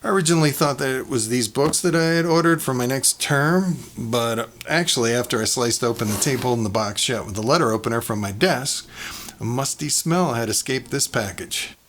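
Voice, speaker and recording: A man's voice: medium pitch, a bit bright, natural voice. Man playing a rambling, fussy neuroscientist, faint noise. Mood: puzzled. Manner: halting, digressive, conversational asides. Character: rambling, fussy male neuroscientist taping a personal log. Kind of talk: audio drama monologue as recorded log.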